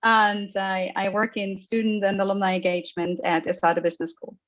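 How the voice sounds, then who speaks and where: A woman's voice: mid-range voice, little pitch movement, a little fast. A woman from East Germany who works in alumni engagement, introducing herself lightly on a video call. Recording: dull call audio.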